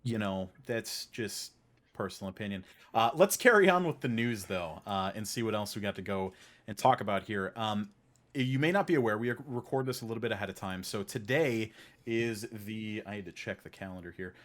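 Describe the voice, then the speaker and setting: Laid-back, low-voiced male podcast host. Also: animated, normal speed. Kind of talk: casual podcast hosting.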